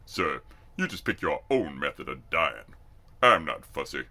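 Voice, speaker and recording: A man puts on a hulking, self-assured army recruit for a storybook reading. The voice drops low and moves slowly, with folksy swagger and a husky grain. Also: very animated, sharp tone, exaggerated voice. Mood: cocky. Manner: slow, unhurried, folksy swagger. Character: big, cocky, slow-drawling male army recruit.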